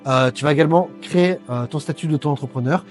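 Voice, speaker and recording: A middle-aged man giving an earnest sales pitch for a training program, talking straight to the listener and stumbling over frequent fillers. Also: quick pace. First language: French. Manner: hesitant, many fillers, earnest persuading. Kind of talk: direct-to-camera sales pitch. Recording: faint background music.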